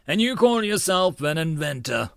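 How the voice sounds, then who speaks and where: Guy playing an arrogant dramatic young dude from an anime game, scornful, super animated. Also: on the high side, normal speed, gravelly, no echo. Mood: indignant, accusing. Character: theatrical, arrogant young man, indignant and self-styled hero.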